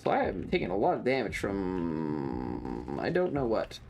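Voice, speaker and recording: A man's voice: sits low, wildly swooping pitch, unhurried, vocal fry, darkish tone, a little hiss or hum. A man streaming a video game with casual live commentary, in a playful, sarcastic and theatrical manner. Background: video game ambience.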